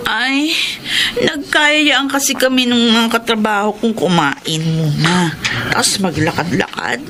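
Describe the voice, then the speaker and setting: A woman's voice: on the high side, measured pace, natural voice. A woman playing an evasive, defensive cheating wife in a Filipino radio drama, with a Filipino accent. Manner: defensive, brushing off questions. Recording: noisy radio drama recording.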